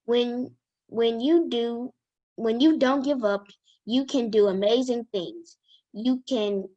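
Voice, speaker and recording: A woman with a fairly high voice reads a children's book aloud. Her pace is very slow, with careful, halting phrasing as she works through the sentences. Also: a little mellow, dull audio. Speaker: guest reader. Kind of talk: reading a children's self-help book aloud.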